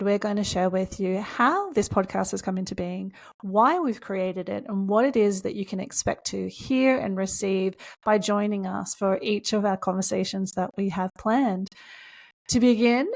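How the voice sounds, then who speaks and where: Australian woman with a gravelly edge. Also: mid-range voice. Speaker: podcast co-host. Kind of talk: casual podcast chat.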